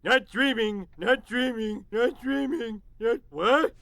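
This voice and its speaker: A man's voice: very high-pitched, takes it slowly, gravelly, dry recording, heavily acted. A man voicing a lazy, gluttonous middle-aged cartoon dad in a game cutscene, dopey and whiny. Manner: dopey, whiny and easily excited. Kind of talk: video game cutscene acting.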